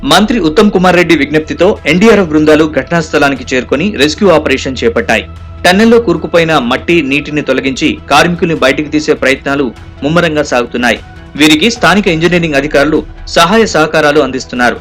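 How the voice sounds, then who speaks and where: A man's voice: fairly high pitch, fast talker, fairly bright tone, faint music behind. A man reading scripted news narration in a formal, urgent manner. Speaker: news reporter doing voice-over.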